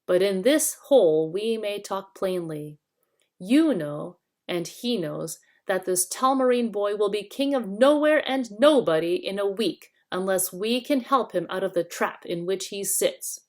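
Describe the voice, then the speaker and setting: A woman's voice: medium pitch, lively intonation, measured pace, darkish tone, lightly acted. A woman voicing a bitter, quarrelsome male dwarf rebel with a scornful manner, in a storybook reading. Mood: sneering.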